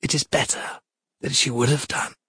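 A cold sea goddess, as played by a male narrator in an audiobook. The voice is lifted and husky, issuing measured commands with cutting menace. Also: medium pitch, little pitch movement, sharp tone, dry recording, clean studio sound, voice pushed up.

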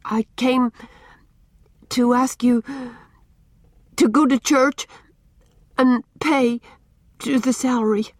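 A woman reading an audiobook and voicing a scared, meek young girl who speaks haltingly, a few words at a time. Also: a little high, fairly even intonation, no room sound, voice pushed up. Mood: nervous, blurting. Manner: halting, faltering, word by word. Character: frightened pale meek young girl, stammering but brave. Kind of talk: audiobook character voice.

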